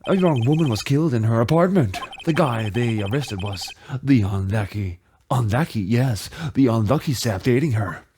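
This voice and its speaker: A performer voicing an earnest young male rookie lawyer from a video game, brooding and deliberate. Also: mid-range voice, expressive, dark tone, a little hiss or hum, pitched below the performer's own voice, natural voice.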